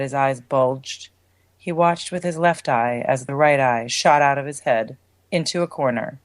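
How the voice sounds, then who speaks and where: Literary narrator, mid pitch, dry close sound, third-person story reading. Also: no echo. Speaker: story reader.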